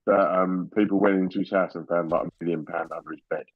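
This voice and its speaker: Low, dry-sounding southern English man. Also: fairly even intonation, fast talker, no echo, highs cut off. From Basildon, England. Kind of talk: casual panel chat.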